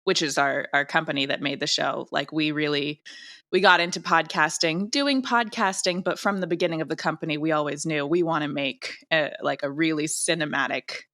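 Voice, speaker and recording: A woman with a fairly low voice and a bright, forward tone, speaking as a creator being interviewed. She talks conversationally, with emphatic, gushing enthusiasm about her work. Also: fairly quick. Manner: gushing, emphatic, animated wording. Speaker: audio drama creator and director, interview guest.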